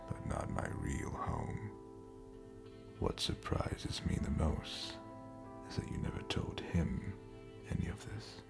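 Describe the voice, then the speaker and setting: An actor playing an ancient, brooding, homesick spacefaring captain in an audio drama, speaking solemnly and deliberately in a gravelly, breathy near-whisper. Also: male voice, a bass voice, exaggerated voice. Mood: bitter. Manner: slow, solemn, deliberate storytelling. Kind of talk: scripted audio roleplay drama.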